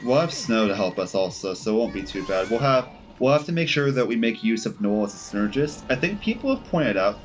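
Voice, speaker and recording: A man doing casual live game commentary, thinking aloud in a halting, self-correcting way as he talks to his chat. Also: lowish voice. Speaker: game streamer. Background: game music.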